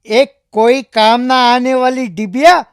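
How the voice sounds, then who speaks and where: A narrator voicing a talkative, greedy young boy in a children's cartoon story, loud and insistent. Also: mid-range voice, fairly even intonation, slow, clean tone, dry recording, studio quality, voice pushed up. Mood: impatient, greedy.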